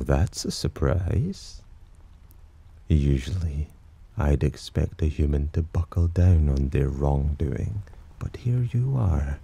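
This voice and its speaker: A man playing a teasing, possessive demon in an ASMR roleplay, with a breathy, husky voice and a smug, theatrical drawl. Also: rumbling low, mellow, full character voice. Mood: intrigued. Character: teasing, possessive male demon sheltering a human. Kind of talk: ASMR roleplay, slow intimate character acting.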